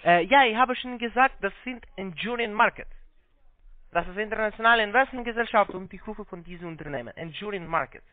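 A non-native speaker, a man with a foreign accent and halting, broken grammar, giving a phone sales pitch. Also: dry recording. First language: not German. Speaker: call-centre investment salesman. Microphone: telephone. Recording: muffled band-limited phone line.

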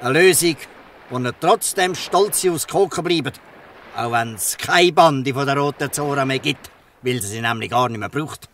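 A man's voice: medium pitch, moderate pace, fairly bright tone, slight background noise, close to an everyday voice. An actor playing a fatherly, shrewd, bluff fisherman in a Swiss German radio play. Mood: kindly, persuasive. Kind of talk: Swiss dialect radio drama acting. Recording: slightly distant from the mic.

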